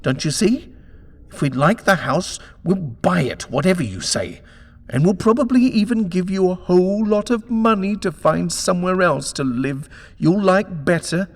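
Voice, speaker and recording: A man's voice: sits high, moderate pace, big-room sound, pitched above the performer's own voice. A man voicing an arrogant, short-tempered house-buyer in a storybook reading, imperious, scornful and exasperated.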